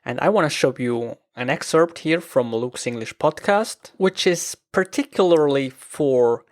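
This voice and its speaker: A man with a Swiss German accent, a native Swiss German speaker, hosting a podcast in a slow, reflective, self-deprecating monologue. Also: on the high side, lively intonation, a little mellow. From Switzerland. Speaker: podcast host.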